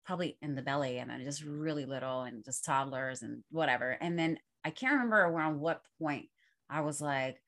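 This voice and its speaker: A middle-aged woman with a lowish voice talks through her family's habits in a casual chat. She reflects aloud and brightens into lively storytelling. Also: fairly quick. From Puerto Rican and Dominican family roots. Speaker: co-host.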